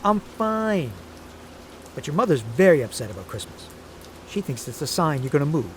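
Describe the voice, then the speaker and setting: Man playing a fussy, animated aging father. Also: a little high, moderate pace. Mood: stubborn. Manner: rambling, nagging, storytelling. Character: fussy, protective, stubborn aging father who rambles. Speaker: actor. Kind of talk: naturalistic audio drama acting. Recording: steady rain noise behind the voice.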